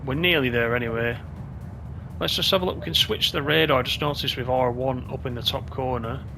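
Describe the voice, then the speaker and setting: A man's voice: mid-range voice, a little fast, vocal fry, music in the background. A man giving casual gameplay commentary as a video host, chatty and thinking aloud.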